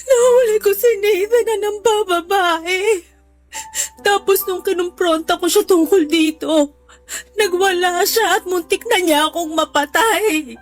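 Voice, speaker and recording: A woman's voice: very high-pitched, a little slow, dry recording. A woman playing a remorseful, protective mother in a radio drama, speaking in a tearful, pleading, emotional way. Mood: distraught, regretful. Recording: faint music bed behind the voice.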